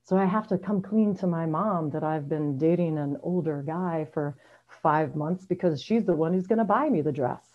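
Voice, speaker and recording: Middle aged woman reading her own story on a video call, kinda low voice, wry, bit muffled. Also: moderate pace. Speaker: amateur storyteller at a story slam. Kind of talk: first-person personal storytelling, read aloud. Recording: dull, lo-fi video call audio.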